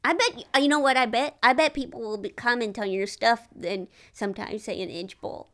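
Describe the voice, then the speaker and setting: Woman comedian guest, high voice, quirky and self-deprecating, rambling delivery. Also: moderate pace, a bit bright. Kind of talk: casual comedic interview.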